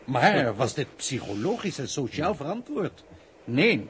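An unctuous road police inspector in a 1960s radio play. His fairly high voice swings widely as he lectures with formal, long-winded courtesy. The old recording is slightly noisy. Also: sing-song, measured pace, a bit bright. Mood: unctuous, lecturing. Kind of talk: 1960s Dutch radio drama acting.

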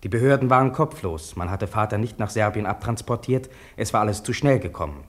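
A man's voice: on the low side, animated, brisk, natural voice. An actor playing a farmer's son in a radio drama, soberly recounting his family's past in plain, earnest sentences. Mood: sober, recollecting. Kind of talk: radio drama first-person narration.

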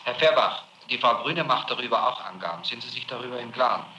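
A man's voice: medium pitch, expressive, fast talker, reverberant, background noise. A man interrogating a witness, confronting him pointedly with his earlier statements on an archival tape.

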